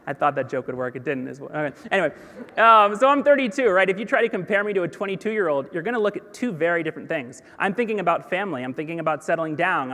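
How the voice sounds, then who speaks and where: A man in his thirties giving a business keynote on a large stage, energetic and conversational, with self-deprecating asides. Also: a little high, in a large hall. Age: 30s. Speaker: keynote speaker. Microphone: headset mic.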